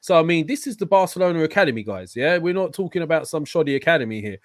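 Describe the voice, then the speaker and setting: A man hosting a casual football discussion, animated and enthusiastic, turning to his guests with questions. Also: on the high side, very fast, no room sound, studio quality. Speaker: show host.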